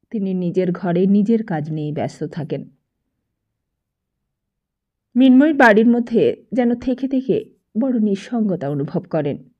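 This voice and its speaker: A woman reading a literary story aloud as an expressive audiobook narrator. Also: mid-range voice. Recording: dull lo-fi sound.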